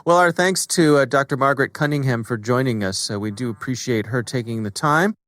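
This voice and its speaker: A man's voice: mid-range voice, brisk, a bit bright, no echo, clean studio sound. A man hosting a podcast, moving between conversational remarks and scripted announcer-like reads. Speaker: podcast host.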